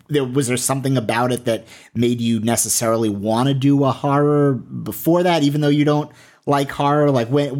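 Halting male podcast interviewer, casual. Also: medium pitch, darkish tone.

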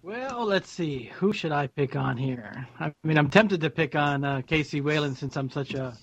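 A man with a somewhat high voice acts as an interviewer on a podcast panel. He puts his questions in a loose, chatty, conversational way. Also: animated, moderate pace, fairly bright tone, no room sound. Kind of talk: casual interview chat.

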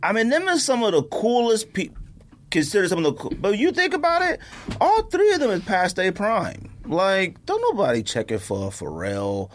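Animated, slangy male podcast co-host. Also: sits high, moderate pace. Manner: slangy, opinionated. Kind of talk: casual sneaker and sports podcast chat.

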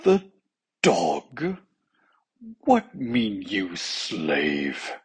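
Storybook king, acted by a narrator, male, imperious, hot-tempered, gravelly, deliberate. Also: medium pitch, steady tone, fairly bright tone, exaggerated voice. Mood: enraged.

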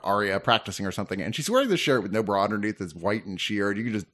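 Opinionated man with very lively, swinging intonation. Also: lowish voice, racing. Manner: opinionated, rambling, sweary. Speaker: film podcast co-host. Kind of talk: casual movie review chat.

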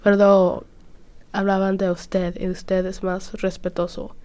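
A woman's voice: mid-range voice, monotone, slow pace, darkish tone, smooth. A teenage girl, a student, talking casually in a group discussion and steering the conversation with questions. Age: teens. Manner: chatty, leads the talk, asks others questions.

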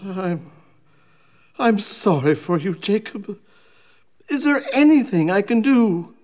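An actor playing a shaken old miser in a radio drama, halting and astonished. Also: male voice, high voice, very animated, heavily acted. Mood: pitying. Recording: loud music behind the voice.

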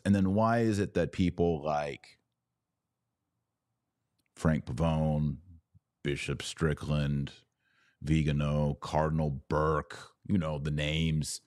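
Man, very low voice, podcast host, opinion commentary, emphatic, wry, rhetorical questions, dry recording. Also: a little mellow, dry recording. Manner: emphatic, repeats words and asks rhetorical questions.

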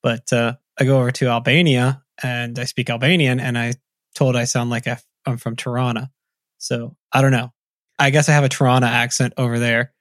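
Man from Tennessee, medium pitch, agreeable podcast co-host, dry close sound. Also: flat delivery, a little fast, fairly bright tone, no room sound. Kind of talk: casual chat, reading list items.

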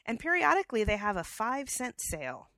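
A woman's voice: on the high side, sing-song, fairly quick, bright, forceful tone, dry recording. A woman co-hosting a casual podcast who has a cold and sounds slightly scratchy, telling chatty stories with fillers, on a headset mic. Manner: chatty storyteller, many fillers. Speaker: podcast co-host. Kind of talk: casual chat.

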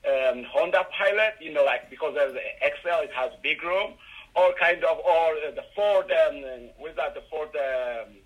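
A middle-aged man from Ethiopia with an Ethiopian accent, answering interview questions haltingly with many fillers and restarts. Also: a little high, husky, lo-fi sound. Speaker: guest, rideshare driver.

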